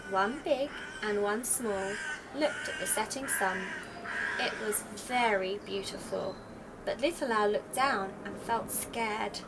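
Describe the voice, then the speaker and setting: A woman reading a children's storybook aloud as an expressive storyteller. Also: on the high side. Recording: faint background noise.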